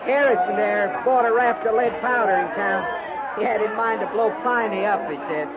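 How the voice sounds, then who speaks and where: An actor playing a plain-spoken, rough-and-ready cavalry sergeant with folksy speech in a 1950s radio drama. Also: male voice, high voice, moderate pace, narrow, tinny audio, very noisy, close to an everyday voice. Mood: dutiful. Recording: thin 1950s radio recording, loud crowd.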